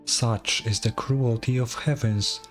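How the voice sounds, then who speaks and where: A man's voice: medium pitch, fairly even intonation, soft background music, lightly acted. A man voicing an ancient, proud dragon lord from a fantasy game, stern and scornful in a grand, formal manner. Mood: scornful, solemn. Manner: grandiose, formal, deliberate delivery. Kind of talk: dramatic fantasy game dialogue.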